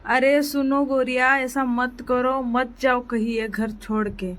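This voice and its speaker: A meek henpecked crow husband frets and pleads in a weary tone, as a woman acts him out. He sounds worn down and anxious, a gentle storybook figure. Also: high-pitched, flat delivery, slow pace. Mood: pleading.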